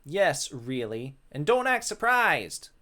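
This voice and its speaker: A man's voice: a little high, sing-song, slow pace, pushed and bright, exaggerated voice. A man voicing a mischievous, vain, lecherous male ghost in a theatrical, exclamatory way for a visual novel. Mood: defensive, boastful.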